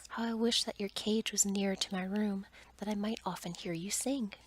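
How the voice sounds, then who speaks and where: A woman voicing a kind, timid young fairy-tale heroine in a soft, breathy, polite whisper. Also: mid-range voice, steady tone, normal speed, natural voice. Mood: tender. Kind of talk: whispered storybook character reading.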